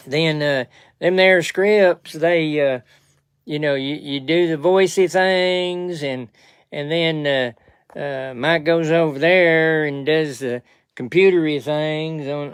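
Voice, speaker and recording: A man voicing a chummy, slow-witted rustic good ol' boy with a drawling rural Southern US accent for a comedy podcast. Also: a little high, smooth, no room sound, studio quality. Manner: drawling, bumbling, ungrammatical. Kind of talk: comedy podcast character improvisation.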